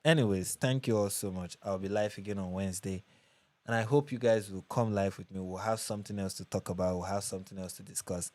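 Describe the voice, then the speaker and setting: A man's voice: deep voice, fast talker, a little mellow, dry recording. A young Nigerian man hosting a casual livestream, reading viewer comments and chatting with restarts and repeats. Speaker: livestream host.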